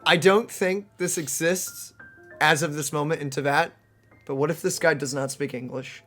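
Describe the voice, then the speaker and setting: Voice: medium pitch, fairly even intonation, moderate pace, a bit bright, faint music behind. A gaming host giving casual, chatty, reactive let's play commentary.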